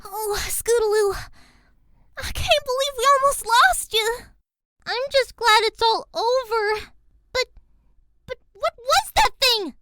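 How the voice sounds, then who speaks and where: A woman voicing an anxious young cartoon pony filly in a dramatic story reading, halting and fretful. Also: very high-pitched, sharp tone, dry recording, clean studio sound, full character voice. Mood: worried. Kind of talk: dramatic fan fiction audio reading.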